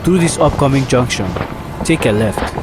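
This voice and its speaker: An actor playing a brotherly veteran of missions with a casual, familiar way of talking. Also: male voice, mid-range voice, lively intonation, moderate pace, over music, close to an everyday voice. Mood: friendly.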